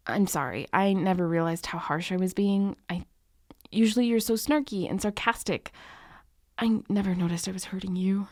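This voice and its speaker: A woman playing a snarky but soft-hearted young tutor in an ASMR romance roleplay, halting and sweary, with sighs and a tendency to drop into whispers. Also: medium pitch, no room sound, studio quality, close to an everyday voice. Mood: gentle, remorseful. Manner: halting, awkward, sweary, often sighing and dropping to whispers. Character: harsh, snarky young female tutor turned flustered, guilty comforter.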